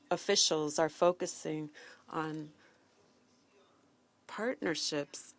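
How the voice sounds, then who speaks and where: A woman giving live interpretation of a formal speech, in halting phrases with false starts. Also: deep voice. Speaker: simultaneous interpreter.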